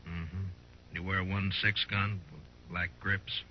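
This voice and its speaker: An actor playing a terse, watchful frontier marshal in the understated style of a 1950s radio western. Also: male voice, low-pitched, level tone, slow pace, a little hiss or hum. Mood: guarded, probing. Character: laconic male frontier marshal, steady, watchful lawman. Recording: muffled old-time radio recording with music.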